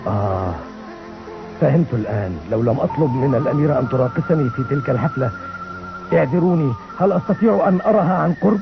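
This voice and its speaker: A man's voice: medium pitch, animated, fast, vocal fry. A man playing an earnest young noble prince in a dubbed cartoon, speaking dramatically. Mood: sorrowful. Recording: muffled lo-fi dub with loud music.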